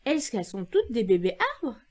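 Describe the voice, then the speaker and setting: A woman acts a curious little girl in a storybook tale. She is polite and wondering, with a high voice and lively, soft-edged delivery. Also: moderate pace.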